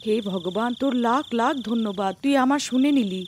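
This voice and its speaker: A woman's voice: fairly high pitch, brisk, a little hiss or hum, close to an everyday voice. A woman voicing a frightened captive village wife in a cartoon, pleading and emotional. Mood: prayerful. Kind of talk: cartoon character acting.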